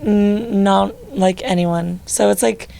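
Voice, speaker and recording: A young woman in her late teens, lead singer of a band, with a low, raspy voice, chatting casually with lots of filler likes in an interview. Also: steady tone, takes it slowly, mellow, slight background noise.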